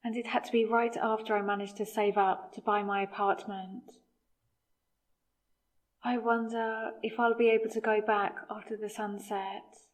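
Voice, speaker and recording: A woman playing a newly turned vampire, sarcastic and rattled, in a conversational, exasperated audio roleplay with hesitant pauses. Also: medium pitch, little pitch movement, no room sound, lightly acted. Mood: wry musing. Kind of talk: scripted ASMR audio roleplay.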